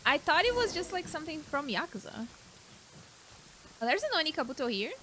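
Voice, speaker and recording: High-voiced woman reacting to a game. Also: big pitch swings, brisk, background noise. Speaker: gaming streamer. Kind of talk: casual let's-play reaction commentary.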